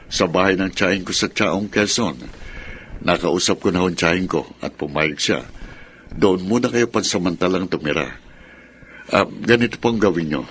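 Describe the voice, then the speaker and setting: A man's voice: deep voice, a bit bright, slight background noise. An actor playing a protective, polite and reassuring man who shelters a family in a radio drama.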